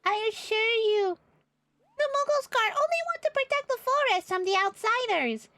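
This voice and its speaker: A woman's voice: very high-pitched, animated, normal speed, bright, forceful tone, no echo, voice pushed up, exaggerated voice. A woman voicing a small, earnest moogle creature from a fantasy game, theatrical and reverent as it tells its story. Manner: theatrical, gushing, exclaims a verbal tic. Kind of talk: character voice reading game dialogue.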